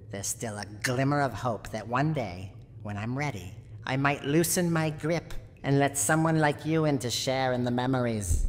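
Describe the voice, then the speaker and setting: A woman voicing a tough, guarded granny who is secretly lonely and tender, in a wistful, teasing roleplay ASMR monologue. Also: a bass voice. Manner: wistful, teasing bravado, slow dramatic delivery. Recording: noisy, long reverberant tail.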